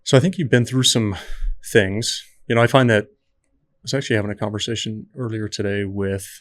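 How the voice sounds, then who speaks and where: A man hosting a podcast who asks probing questions in a measured, conversational way. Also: on the low side, steady tone, a bit bright, no echo. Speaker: podcast host. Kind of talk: interview questions. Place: podcast studio.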